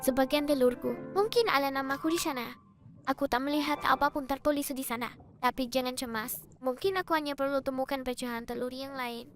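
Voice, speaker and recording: High-voiced little moon creature played by a woman. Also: a little fast, faint music behind, lightly acted. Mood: curious, innocent. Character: small friendly naive moon-child creature, childlike and earnest. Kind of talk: preschool cartoon dub.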